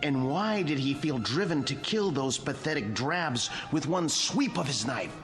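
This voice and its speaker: An actor playing a devoted, intense museum curator in an audio drama, precise, polite and theatrical, with music underneath. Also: male voice, fairly high pitch, animated, measured pace, fairly bright tone. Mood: macabre, theatrical. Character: devoted, brooding middle-aged male wax museum curator, deferential and intense.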